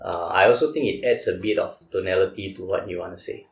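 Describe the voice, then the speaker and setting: Low gravelly Singaporean man. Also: fast, roomy sound, slight background noise. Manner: thoughtful, explains with examples. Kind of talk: casual chat.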